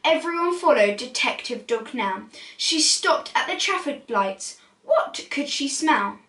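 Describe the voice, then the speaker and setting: A woman's voice: high voice, expressive, a little slow, a bit bright. A woman reading a storybook aloud expressively for young children. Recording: roomy echo, distant from mic.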